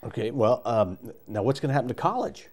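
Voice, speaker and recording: Casting: American male interview host, conversational, with a varied, animated pitch. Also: a little fast.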